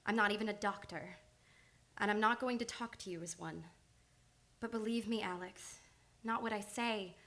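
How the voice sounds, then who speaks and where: Woman acting an earnest, high-voiced lady analyst. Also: a bit bright. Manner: urgent, emphatic short phrases. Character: earnest, devoted woman analyst, insistent and pleading. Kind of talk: old-time radio drama acting.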